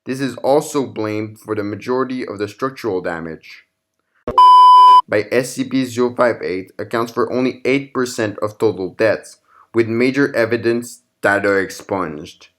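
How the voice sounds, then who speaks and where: A man's voice: fairly low pitch, moderate pace. A man hosting and narrating scripted horror fiction in the form of documents and logs. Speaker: narrator and channel host.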